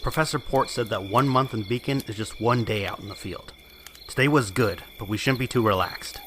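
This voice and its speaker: A man's voice: on the low side, a little fast, a bit bright, a little hiss or hum, lightly acted. A man playing a serious young squad leader in a fan audio drama, firm and matter-of-fact. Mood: cautioning.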